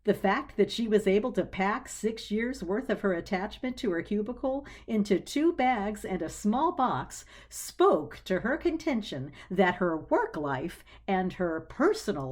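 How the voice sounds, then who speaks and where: A woman reading a scripted story as narrator, with an expressive storytelling delivery. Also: mid-range voice, a little slow, few pauses.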